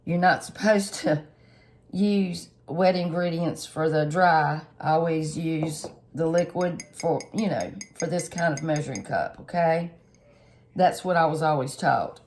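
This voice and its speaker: A woman, a little hoarse and stuffed up, chatting homily through a cooking tutorial for her viewers. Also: sits low, takes it slowly, a little mellow, a little hiss or hum. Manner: homey, chatty, lots of fillers, addresses viewers directly. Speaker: home cook channel host.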